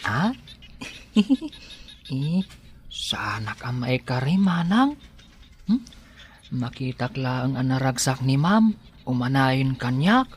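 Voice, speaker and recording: Slow, bashful, lovestruck Ilocano gardener played by a man. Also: medium pitch, sing-song, slight background noise. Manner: bashful, hesitant. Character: shy, devoted young gardener in love. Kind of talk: Ilocano radio drama acting.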